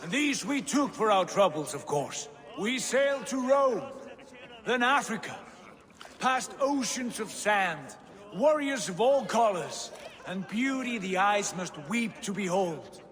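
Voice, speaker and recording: An actor playing a boisterous, boastful young Viking prince with a hearty, grand way of talking. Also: male voice, high-pitched, animated, unhurried, fairly bright tone. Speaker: game character. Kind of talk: cinematic game acting. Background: faint game score music.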